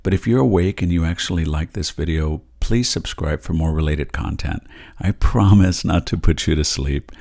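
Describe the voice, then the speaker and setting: A man with an American accent and a low-set voice talks casually as a vlog host. His delivery is fairly monotone and rambling, with a folksy, nostalgic way of addressing his viewers. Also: normal speed, gravelly. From San Antonio, Texas, USA. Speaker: vlog host and real estate agent. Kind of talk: casual reminiscing walk-and-talk vlog.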